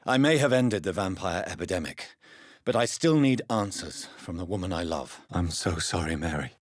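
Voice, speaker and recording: Deep, brooding British gentleman doctor. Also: expressive, no echo, clean studio sound. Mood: brooding, resolute. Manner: formal, measured, precise diction. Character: grave, refined male doctor turned vampire, gentlemanly and brooding. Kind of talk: video game character dialogue.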